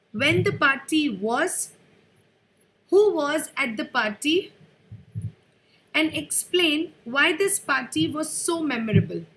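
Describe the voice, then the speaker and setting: High-voiced woman examiner. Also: a bit bright, poor audio. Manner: brisk, formal questioning. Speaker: speaking test examiner. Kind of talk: formal exam questioning.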